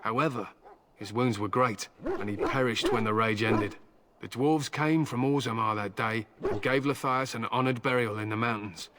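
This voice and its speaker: An actor playing a proud, gruff fantasy warrior in a video game, speaking formally and solemnly. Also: male voice, mid-range voice, moderate pace, lightly acted. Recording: loud noise behind voice.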